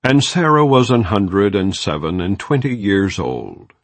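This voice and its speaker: A man giving a measured, solemn scripture reading. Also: on the low side, sing-song, dry recording. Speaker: Bible reader.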